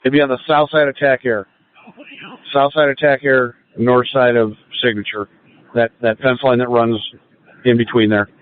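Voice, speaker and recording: A man's voice: mid-range voice, little pitch movement, normal speed. A man working as a police officer, relaying procedural messages over a narrowband two-way radio.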